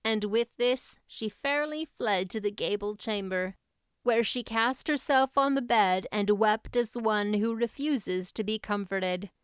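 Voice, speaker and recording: A woman's voice: mid-range voice, a little slow, smooth, no echo, studio quality. A woman narrating a classic novel as a scripted audiobook reading.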